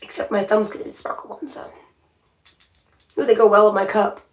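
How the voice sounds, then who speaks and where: A woman's voice: medium pitch, fairly even intonation, fairly quick. A woman chatting casually on a livestream as she paints her nails, thinking aloud and often restarting her sentences. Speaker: nail art livestreamer. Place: home by a window.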